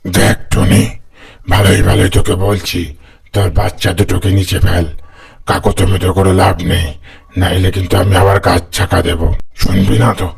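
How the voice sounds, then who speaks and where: A man voicing a wicked, greedy, menacing male fox in a cartoon fable, with a gravelly, husky voice and a threatening, gloating manner. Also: deep voice, flat delivery, normal speed. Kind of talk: cartoon fable character acting.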